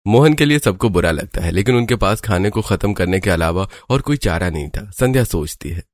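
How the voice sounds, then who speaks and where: Very deep-voiced male story narrator. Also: animated, fairly quick. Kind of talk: scripted storybook narration for a cartoon.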